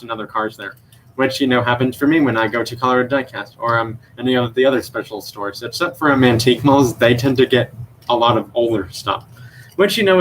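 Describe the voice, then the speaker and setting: Young guy on a home live stream, um-heavy rambly talk, mid-pitched, faint hiss behind. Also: rough recording. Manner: rambling, many fillers, unsure self-corrections. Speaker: diecast collector streamer. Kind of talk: casual live stream show-and-tell. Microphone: computer mic.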